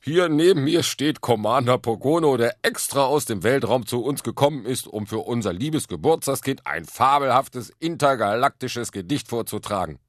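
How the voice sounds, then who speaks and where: A man voicing a jovial, hearty uncle in a children's storybook, loud and merry, exclaiming and laughing. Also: mid-range voice, lively intonation, normal speed, few pauses, no room sound, studio quality, pitched above the performer's own voice, close to an everyday voice. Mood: loud, proclaiming. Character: jovial, booming uncle, loudly merry at a birthday party.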